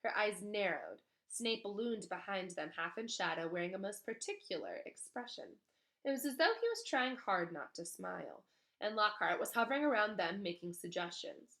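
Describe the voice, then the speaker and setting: A woman reading a children's novel aloud as an expressive storyteller. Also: mid-range voice, normal speed.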